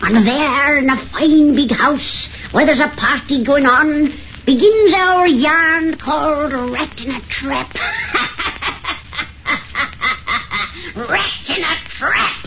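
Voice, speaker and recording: A cackling crone over a hundred tells horror tales in rustic dialect. Her cracked voice creeps along very slowly and swoops with sinister glee, on a muffled vintage radio recording. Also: high-pitched, very animated, slight background noise, heavily acted. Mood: gleefully sinister. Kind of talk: 1930s horror radio acting.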